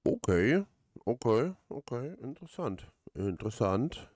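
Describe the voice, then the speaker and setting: A man giving casual let's play commentary, haltingly thinking aloud and repeating his words. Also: mid-range voice, big pitch swings, darkish tone, slightly rough, no room sound. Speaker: let's player. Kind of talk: casual, rambling game commentary.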